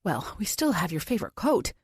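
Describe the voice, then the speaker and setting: A woman's voice: on the low side, very animated, a little fast, darkish tone, breathy edge, dry recording, studio quality, full character voice. A woman voicing a creepy, eager, doting man who holds someone captive, in audiobook dialogue. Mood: coaxing, fond. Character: creepy, eager, doting adult male captor.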